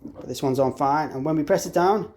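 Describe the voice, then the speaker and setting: Man, somewhat high-pitched voice, casual product tutorial, informal explanatory delivery, installer showing how things fit. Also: fairly quick, a little mellow, slight background noise. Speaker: installer demonstrating an intercom product.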